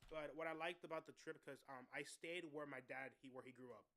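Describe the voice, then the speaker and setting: A man's voice: fairly high pitch, brisk, bright, forceful tone. A young man from Miami co-hosting a live radio show, chatting casually and rambling with restarts as he tells stories about his family. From Miami, USA. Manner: rambling, restarts, laughs at himself. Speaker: co-host. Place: radio studio.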